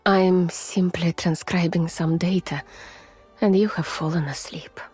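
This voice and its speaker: Slow breathy russian lady scientist, gentle and weary, game character, very close and whispery, music behind. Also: lowish voice, fairly even intonation. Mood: wistful, quiet. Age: adult, mature. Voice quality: soft, breathy, often near a whisper. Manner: measured, formal, restrained. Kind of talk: soft, intimate ASMR-like game voice acting.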